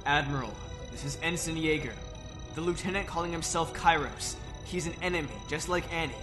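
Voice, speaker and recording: A man's voice: a little high, fairly quick, music in the background, lightly acted. A man voicing an earnest, urgent young male ensign in a fan-made parody drama.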